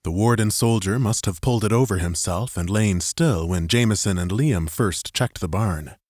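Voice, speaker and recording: Casting: male narrator for dramatic sci-fi audiobook, lowish voice, close and dry studio sound. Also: moderate pace, a little mellow, no room sound.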